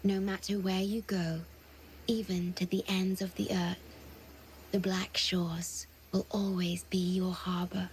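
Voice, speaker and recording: A woman voicing a gentle, devoted, self-sacrificing guardian in a video game, speaking softly and solemnly with a breathy delivery. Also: fairly low pitch, level tone, unhurried, a little hiss or hum, close to an everyday voice, low-quality recording. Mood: tender, sad. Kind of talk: scripted video game cutscene acting.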